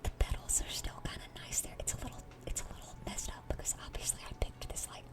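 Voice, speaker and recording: A woman playing a dorky, lovestruck young demon in a whispered ASMR roleplay, speaking in a breathy, earnest, flustered way. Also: fast talker, natural voice. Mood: shy, earnest. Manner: flustered, stammering, gushing, earnest.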